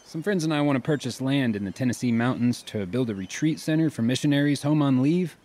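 A man's voice: mid-range voice, lively intonation, fast talker, darkish tone, close to an everyday voice. An actor playing an earnest, devout young man, a grown son of missionaries, in a radio drama.

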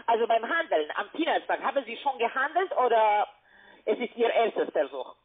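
A woman in giving a telephone sales pitch, often tagging her statements with little questions. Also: very animated, moderate pace. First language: not German. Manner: ends statements with questioning tags. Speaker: telemarketing caller. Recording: muffled narrow phone line.

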